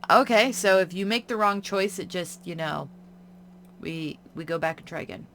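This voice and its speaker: A woman playing a horror visual novel, reading its text in a hush and breaking off into casual reactions. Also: lowish voice, moderate pace, a little hiss or hum. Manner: hushed reading broken by chatty reactions. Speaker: game streamer. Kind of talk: reading a game's text aloud with casual commentary.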